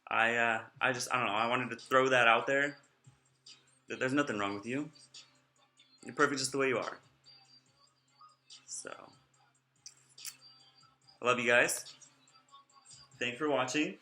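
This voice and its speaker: A man's voice: medium pitch, a little slow, long pauses, bright, forceful tone, reverberant, faint music behind. A young man vlogging in a casual, rambling way, sounding nasal and slurring his words a little. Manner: rambling, off-topic, chatty.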